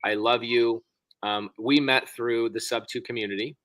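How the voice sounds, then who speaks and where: A man hosting a casual interview over a video call, talking with eager, excitable enthusiasm on a close studio microphone. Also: mid-range voice, normal speed, low-quality recording. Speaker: host.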